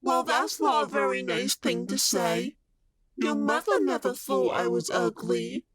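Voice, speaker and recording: A woman playing a vain, playfully murderous living doll in a horror audio drama, with a slow, singsong, mock-sweet menace. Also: sits high, very animated, fairly bright tone, breathy edge, no room sound, heavily acted. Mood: smug, taunting.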